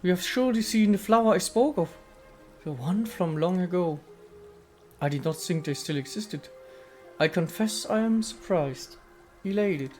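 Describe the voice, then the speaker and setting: A man's voice: high-pitched, lively intonation, moderate pace, soft background music. A man voicing an ancient female nature spirit from a video game, speaking in a serene, formal, poetic manner. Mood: gracious, wistful. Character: ancient female statue goddess spirit, serene, aloof, contemplative. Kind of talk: dramatic reading of video game dialogue.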